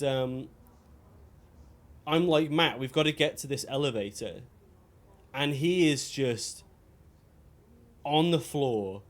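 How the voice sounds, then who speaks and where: Male game streamer, casual and conversational, rambling storyteller with dry asides. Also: medium pitch. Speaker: game livestreamer. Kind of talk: casual chat while playing a video game.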